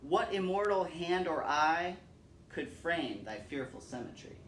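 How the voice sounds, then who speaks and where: A man's voice: a little high, expressive, unhurried, fairly bright tone. A man hosting a storytime, reading poems aloud and talking to children. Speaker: storytime host. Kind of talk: poetry reading and talk for children. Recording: roomy, distant mic, faint noise.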